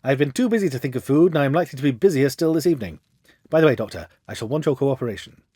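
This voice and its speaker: A man reading a storybook as a confident, commanding Victorian gentleman detective with an assured, theatrical manner. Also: medium pitch, animated, very fast, slightly rough, dry recording, clean studio sound. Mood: brisk, assured.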